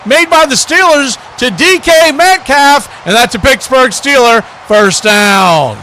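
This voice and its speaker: A man's voice: very high-pitched, lively intonation, a little slow, noisy background. A man calling live football play-by-play as host, in clipped, telegraphic phrases. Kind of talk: live sports play-by-play commentary.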